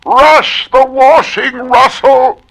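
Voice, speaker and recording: A haughty woman of commanding temper, acted out by a man, pitched far up in a shrill register. The delivery is theatrical and imperious, with a bright, sharp edge, as on a spoken-word comedy record. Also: fairly even intonation, slow, gravelly, heavily acted. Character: haughty shrill woman customer with mended pots.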